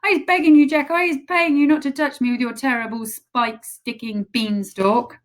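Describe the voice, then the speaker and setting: A woman's voice: high-pitched, steady tone, fairly quick, voice pushed up, lightly acted. A woman voicing a huge, brutish male giant who screams and begs in terror during a nightmare. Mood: pleading. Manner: screaming, bellowing, pleading. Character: huge child-eating male giant, terrified, thrashing.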